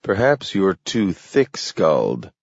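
A grim, resolute male official, voiced by a man reading a story, stern and measured. His lowish voice sits in a close, dry recording with a slightly dull sound. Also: huge pitch swings, dry recording, full character voice.

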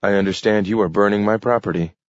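Man playing a solemn, formal young gentleman. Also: lowish voice, fairly even intonation, fast talker, dry recording, highs cut off, close to an everyday voice. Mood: coolly polite.

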